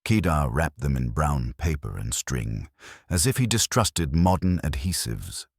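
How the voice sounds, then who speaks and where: Super deep raspy guy narrating a detective tale, wry and deadpan, intonation moves a lot. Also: unhurried, dry recording, clean studio sound. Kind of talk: scripted first-person detective narration.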